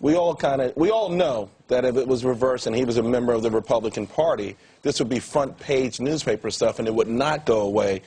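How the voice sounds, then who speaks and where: A man with a mid-pitched, husky voice argues quickly on a studio panel. The words come fast and pressing. He builds each point and pushes on. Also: mellow. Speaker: talk show panel guest.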